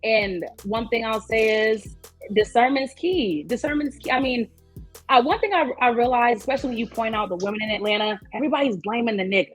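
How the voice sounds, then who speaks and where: A woman's voice: fairly high pitch, a little fast, soft background music. A young woman from Georgia, a podcast guest talking bluntly and repeating her points for emphasis. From Georgia, USA. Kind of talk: casual podcast chat.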